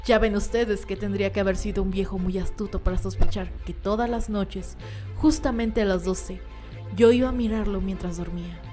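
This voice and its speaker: A woman's voice: medium pitch, fairly even intonation, moderate pace, mellow, soft background music, natural voice. An actress playing a mad, cunning woman murderer in a radio drama, theatrical, insistent and self-justifying. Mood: gloating secrecy. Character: mad, cunning, boastful woman murderer confessing her crime.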